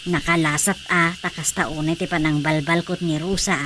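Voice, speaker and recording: A woman playing a chatty, gently exasperated grown daughter in a radio comedy drama, with an Ilocano accent. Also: low-pitched, steady tone, moderate pace, noisy background, natural voice. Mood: explaining.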